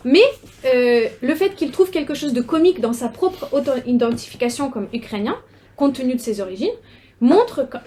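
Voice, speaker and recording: A young adult woman researcher giving an academic seminar talk, measured and often pausing on fillers and repeated words. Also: a little high, darkish tone, slight background noise.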